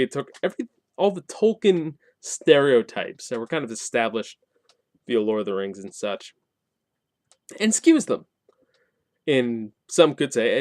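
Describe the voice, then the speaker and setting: A man's voice: medium pitch, no room sound. Casual, wry man in a dry room. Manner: colloquial and wry, with sarcastic asides and mock dialogue. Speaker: tabletop game reviewer and host. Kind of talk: casual spoken review, explaining a game setting.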